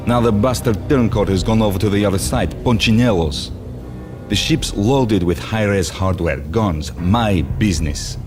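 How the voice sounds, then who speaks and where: Game role: male actor as playful mob gunrunner, low register, silky salesman patter, theatrical. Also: measured pace, music in the background, close to an everyday voice. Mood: businesslike, scheming. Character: jovial, smooth-talking male gangster arms dealer.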